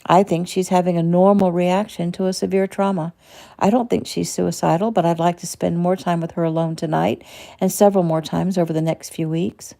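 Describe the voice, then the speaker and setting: Brief: calm probing doctor read by a woman, quick, low, gravelly. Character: calm male doctor, therapist.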